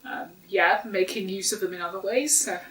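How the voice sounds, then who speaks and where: A woman's voice: medium pitch, normal speed, fairly bright tone. Woman, sniffly with hay fever, hesitant casual chatter, recorded roomy and a bit far off. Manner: hesitant, frequent ums. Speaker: co-host. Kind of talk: casual chat. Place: home living room sofa.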